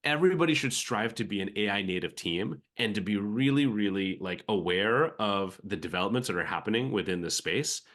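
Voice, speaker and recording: A man with a bright, forceful voice pitched a little low speaks with an American accent. He is a guest on an interview podcast. He talks reflectively and piles up fillers and restarts as he goes. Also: expressive, fairly quick, hardly any pauses. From Philippines. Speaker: venture capital guest.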